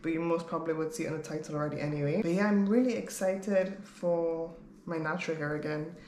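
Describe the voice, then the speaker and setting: A woman's voice: deep voice, quick pace, darkish tone. A woman in her thirties chatting casually to camera as a vlogger, candid and rambling. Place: bare-walled room at home, indoors. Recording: roomy echo, clear background noise.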